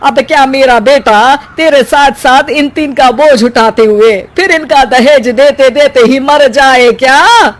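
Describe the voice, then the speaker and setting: A performer voicing a spiteful old grandmother in a melodramatic family story, scolding and taunting in a drawn-out way. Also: sits high. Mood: furious scolding.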